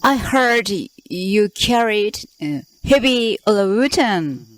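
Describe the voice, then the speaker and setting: Slow, hesitant female interviewer. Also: medium pitch, expressive. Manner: hesitant, polite non-native English. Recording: faint insect noise outdoors.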